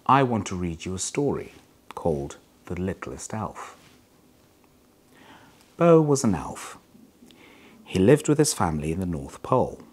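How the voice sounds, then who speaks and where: A middle-aged man with a very low, rough-edged voice reads a children's story. His delivery is measured and expressive, with big swings of pitch from phrase to phrase. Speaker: hospital chief executive reading a children's story. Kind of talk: scripted storybook reading.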